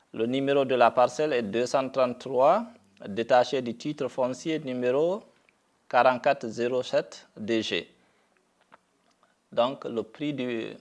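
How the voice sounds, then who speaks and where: A middle-aged man from Guinea making a deliberate public statement, speaking haltingly with frequent pauses and fillers. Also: mid-range voice. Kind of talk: slow, deliberate video statement.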